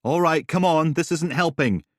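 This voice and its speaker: A man voicing an easygoing young male detective who tries to calm things down with wry remarks. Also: on the high side, normal speed, no echo, studio quality, pitched above the performer's own voice, lightly acted. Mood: placating. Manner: placating, wry.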